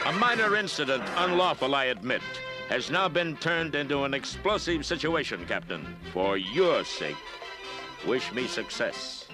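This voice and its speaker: An actor in an animated series playing an earnest, upright masked cowboy hero with a measured, heroic manner. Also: male voice, medium pitch. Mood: grave.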